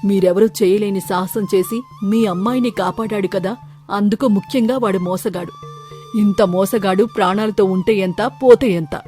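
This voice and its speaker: A woman's voice: mid-range voice, normal speed, dark tone, faint music behind, close to an everyday voice. A woman voicing a principled male village doctor in a storybook tale, speaking in a pointed, reproving way. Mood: sarcastic reproach. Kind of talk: storybook character acting.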